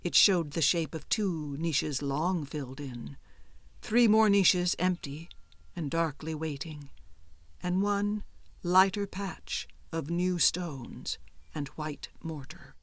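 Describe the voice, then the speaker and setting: A woman reading a suspenseful horror story as an audiobook narrator, with expressive and deliberate delivery. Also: fairly low pitch, no room sound.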